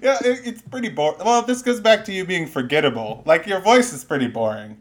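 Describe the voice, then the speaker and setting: A man's voice: high-pitched, animated, fairly quick. Young man, teasing banter, swears freely, casual podcast co-host. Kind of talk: casual chat.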